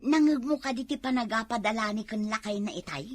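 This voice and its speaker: Actress as a high-voiced Filipino village housewife. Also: female voice, a little fast, no room sound, natural voice. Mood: gossipy. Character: chatty, concerned village housewife. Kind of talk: comedy drama acting.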